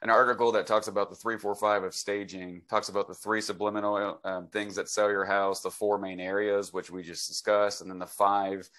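A man's voice: on the low side, flat delivery, fairly quick, hardly any pauses, a bit bright. A man in his forties hosting a casual interview over a video call, talking in an enthusiastic, conversational way. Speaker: host, real estate agent. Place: home office, video call. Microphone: computer mic on a video call.

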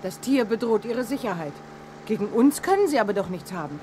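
An actress playing a spirited, sensible young adventurer, assertive and clear, in a radio play. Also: female voice, medium pitch, very animated, brisk, dark tone, slight background noise, natural voice. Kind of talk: 1980s German radio play acting.

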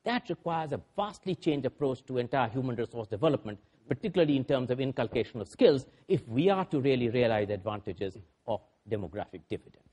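A man's voice: mid-range voice, lively intonation, fast, a little mellow, husky. A man on a panel discussion making formal, numbered points emphatically, with frequent hesitations.

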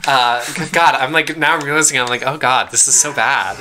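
Bright-voiced rambling young man. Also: medium pitch, fairly quick, slight background noise. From Pennsylvania, USA. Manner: rambling storytelling, many fillers and restarts. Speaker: let's play co-host. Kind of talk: casual chat over gameplay.

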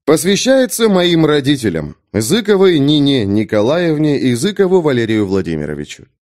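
A man narrating a fantasy audiobook as a scripted read, in a measured, dramatic storytelling manner. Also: a little high, wildly swooping pitch, a little mellow.

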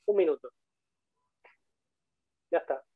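A man's voice: takes it slowly, dry recording. Chatty Spanish man over a tinny call. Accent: Spanish (Spain). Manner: chatty, repeats himself for emphasis. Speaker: guest speaker, 3D modeler. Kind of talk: casual online talk. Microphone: video call. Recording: tinny phone-like call audio.

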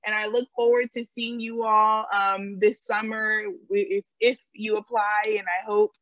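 A woman from Stockton, a program coordinator, dropping into a video call in a hurried, apologetic way. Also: mid-range voice, level tone, a bit bright, dry recording. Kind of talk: brief drop-in greeting on a video call. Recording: dull video-call audio.

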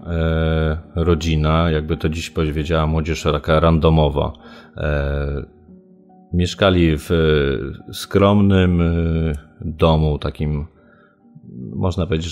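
Man, extremely low pitch, halting unscripted interview speech, journalist recalling, faint music behind. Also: darkish tone. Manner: halting, hesitant phrasing with repeated hums. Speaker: local journalist giving recollections. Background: background music.

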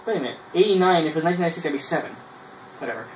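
A man, casually reading his old writing aloud in a halting way, with self-mocking asides, in a small bedroom. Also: fairly high pitch, expressive. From Canada. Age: 20s. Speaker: vlogger. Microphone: camera a few feet away. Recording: roomy, distant mic with noise.

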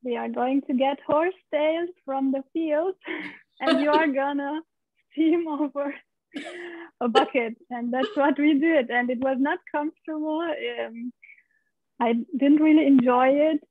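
A woman's voice: high-pitched, measured pace, dry recording. A woman in her thirties from Romania, telling her story openly in a video-call interview from her living room. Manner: open storyteller, laughs often, gestures while talking. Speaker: guest, pelvic steam practitioner. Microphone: video call, laptop mic. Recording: dry, tinny video-call audio.

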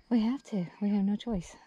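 A woman's voice: medium pitch, mellow, breathy edge, no echo. A middle-aged woman chatting casually to camera, somewhat winded as she hikes. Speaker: hiker filming a travel vlog. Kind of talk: casual chat to camera while hiking. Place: outdoors on a forest and ridge trail. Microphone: handheld camera at arm's length. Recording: noisy handheld outdoor camera audio.

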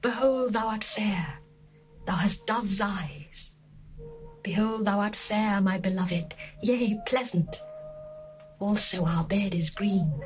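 A woman voicing a lovesick young bride longing for her beloved, in a dramatized scripture reading, tender and lyrical with hushed passages. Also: mid-range voice, slow pace, close to an everyday voice. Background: faint music.

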